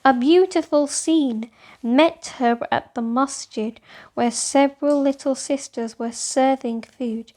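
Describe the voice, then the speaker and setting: A woman's voice: high-pitched, takes it slowly, darkish tone. A woman reading scripted storybook narration for children.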